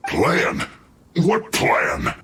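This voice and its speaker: A man's voice: medium pitch, huge pitch swings, extremely slow, pushed and bright, a little hiss or hum, heavily acted. An actor playing an aggrieved, defensive soldier henchman in a video game, protesting with exaggerated indignation. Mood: indignant. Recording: echoey, music behind voice. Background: game music.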